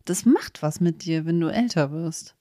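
A woman's voice: sits low, animated, moderate pace, warm tone, no room sound, clean studio sound. A woman co-hosting a podcast in casual, conversational discussion. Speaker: podcast co-host.

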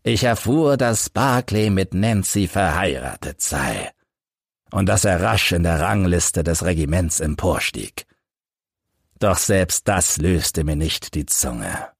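A man's voice: deep voice, expressive, gravelly, breathy edge, no room sound, clean studio sound, full character voice. A man voicing an embittered, crippled old ex-soldier who solemnly recounts his suffering in an audiobook story. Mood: bitter, wistful. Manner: solemn, measured, literary delivery. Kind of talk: audiobook first-person storytelling.

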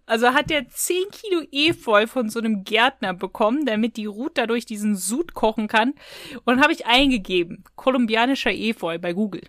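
A woman's voice: a little high, animated, brisk, a bit bright, no echo, clean studio sound. A woman co-hosting a podcast, chatting casually and retelling things in a colloquial, rambling way. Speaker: podcast co-host. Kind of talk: casual podcast chat, reviewing audio dramas.

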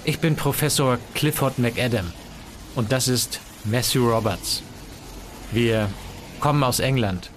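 A man's voice: mid-range voice, a little slow, pauses often, slight background noise, lightly acted. Man playing a composed, polite professor. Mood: expectant. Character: composed, courteous male English professor, purposeful. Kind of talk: hobby sci-fi audio drama acting.

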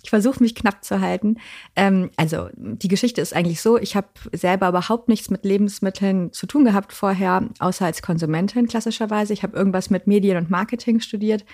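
A woman's voice: medium pitch, a little mellow. Young woman founder, casual and chatty, fillers, mid-range voice. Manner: chatty, many fillers, quick asides. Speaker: founder and podcast guest. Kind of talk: casual interview chat.

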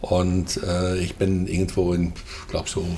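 An older man from the Netherlands with a Dutch accent, talking hesitantly with many fillers and throat clearing as an interview guest. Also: low-pitched, monotone, measured pace, warm tone, a little hiss or hum. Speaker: guest, festival co-manager. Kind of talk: casual interview chat.

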